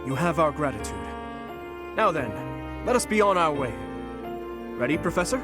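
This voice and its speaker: A man's voice: on the high side, big pitch swings, moderate pace, over loud music. An actor voicing an earnest, stern and courteous young nobleman in a video game, formal and clipped. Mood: resolute.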